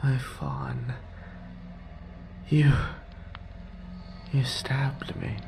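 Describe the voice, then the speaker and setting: A man playing a deranged, possessive male lover in a horror audio roleplay, speaking in breathy, halting, intimate fragments. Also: level tone, background noise, exaggerated voice. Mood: wounded, blissful. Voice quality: breathy, whispery, creaky low voice. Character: obsessive, deranged yandere male lover, tender and murderous.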